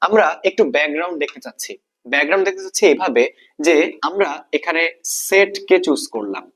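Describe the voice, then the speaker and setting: Bangladeshi guy teaching grammar, voice a bit on the high side, keeps repeating stuff and asking check questions. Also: lively intonation, fast talker, a bit bright. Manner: instructional, repeats key points and checks with questions. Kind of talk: explanatory exam-prep lesson.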